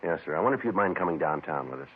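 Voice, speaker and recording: An American actor playing a terse, deadpan police detective in a 1950s radio drama, polite and businesslike in clipped sentences. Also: male voice, deep voice, very fast, husky. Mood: calm, probing. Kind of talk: 1950s radio drama acting with first-person narration. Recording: narrow, noisy vintage 1950s radio recording.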